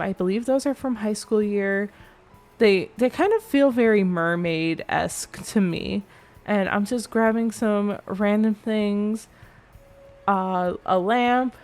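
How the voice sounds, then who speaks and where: A young woman chats casually over her game build, her voice a touch nasal and stuffy. She rambles, second-guesses herself and circles back, at an ordinary middle pitch. Quiet music plays faintly behind her. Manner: chatty, rambling, self-correcting. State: slightly nasal from allergies.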